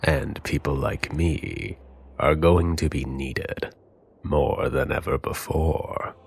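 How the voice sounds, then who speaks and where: A man playing a grave, brooding mentor in an anime audio drama, slow and ominous. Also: fairly even intonation, slight background noise, full character voice. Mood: somber, ominous. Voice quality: very deep, gravelly. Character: grave, brooding male mentor warning of danger.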